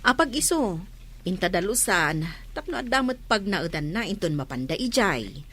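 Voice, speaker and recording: A woman with a husky voice playing an eager, agreeable family friend in a drama. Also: mid-range voice, sing-song, moderate pace, fairly bright tone. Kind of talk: radio-style drama dialogue.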